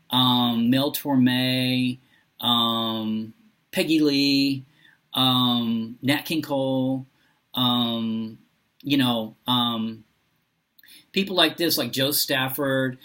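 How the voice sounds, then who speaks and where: A man talking casually and unscripted as a vlogger, halting often as he searches for his words. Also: medium pitch, a bit bright. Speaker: book and reading vlogger. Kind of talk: casual unscripted vlog talk.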